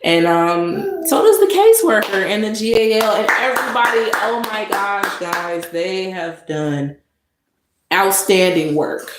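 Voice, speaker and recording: A woman vlogger delivering a sarcastic monologue of mock praise, with drawn-out emphasis. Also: medium pitch, lively intonation. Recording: echoey room with noise.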